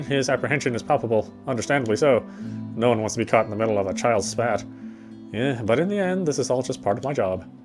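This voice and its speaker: A man reading first-person visual novel narration quickly, with frequent slips and corrections. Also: mid-range voice.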